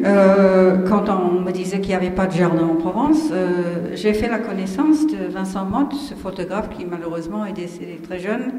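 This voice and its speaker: A woman's voice: lowish voice, warm tone. An older woman from English-speaking Canada with an English Canadian accent, whose first language is English, giving a chatty, digressive, self-deprecating public lecture. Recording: echoey hall from a distance.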